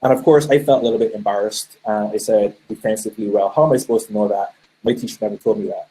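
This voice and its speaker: A middle-aged man with a Barbadian accent leads an online presentation, speaking into a computer mic on a call. His voice sits a little low and sounds mellow and relaxed. He talks casually, often pausing on ums as he tells stories. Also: low-quality recording. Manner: conversational, storytelling with many fillers. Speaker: webinar presenter. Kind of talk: live online presentation with chat questions.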